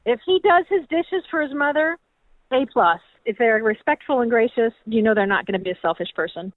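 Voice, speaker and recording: A woman's voice: a little high, lively intonation, a little fast, no room sound. A woman calling in to a radio show, speaking in a matter-of-fact way. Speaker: caller. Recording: tinny phone line.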